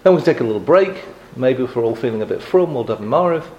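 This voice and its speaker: A man from England in middle age speaks to a live audience, his pitch rising and falling with lively movement. He keeps a dry, wry humor and often pauses with ums and restarts. A faint room ambience sits behind him. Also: highs cut off, a little hiss or hum. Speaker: guest speaker on advocacy.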